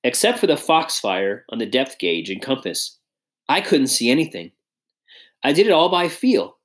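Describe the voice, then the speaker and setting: A man's voice: medium pitch, sing-song, moderate pace, close to an everyday voice. A man voicing a shy, earnest young inventor who speaks modestly.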